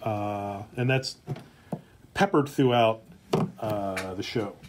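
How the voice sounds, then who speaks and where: A man presenting on a casual livestream, speaking in a halting, hesitant way with drawn-out pauses. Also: lowish voice, background noise. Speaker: streamer and comedy writer presenting a script.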